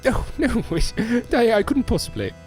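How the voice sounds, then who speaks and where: Man playing a high-voiced, genteel gentleman entertainer. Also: wildly swooping pitch, normal speed, exaggerated voice. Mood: grateful, gracious. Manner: very polite, formal, flowery phrasing. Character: genteel, modest male ventriloquist, courteous and flattering. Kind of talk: scripted audio drama acting. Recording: music behind the voice.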